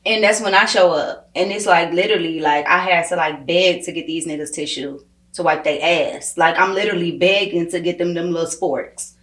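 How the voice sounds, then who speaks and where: A woman's voice: lowish voice, a little fast. A woman interviewer chatting casually in emphatic slang, telling stories by acting out what people said. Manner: slangy, emphatic, animated storytelling with quoted dialogue. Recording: roomy echo, distant from mic.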